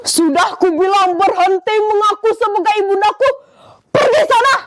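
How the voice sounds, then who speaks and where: A woman's voice: extremely high pitch, normal speed, pitched above the performer's own voice. A girl voicing an arrogant rich young man who sneers and boasts. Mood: arrogant sneering.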